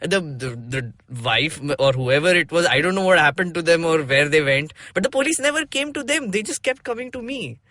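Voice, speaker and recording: A man's voice: high-pitched, expressive, fairly quick, hardly any pauses, bright, forceful tone, dry recording. A man with an Indian accent, a podcast host chatting casually and animatedly, mixing Hindi phrases into English. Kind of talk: casual personal storytelling and discussion.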